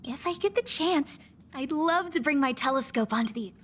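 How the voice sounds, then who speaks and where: A woman's voice: high-pitched, animated, moderate pace. A woman voicing a friendly, dreamy young stargazer in a video game, earnest and enthusiastic. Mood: eager, dreamy. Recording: music behind the voice.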